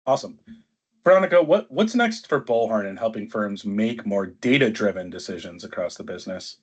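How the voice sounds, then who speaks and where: A man's voice: lowish voice, lively intonation, fairly quick, husky. A man moderating a business panel discussion as webinar host. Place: online webinar call. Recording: dull, band-limited online call audio.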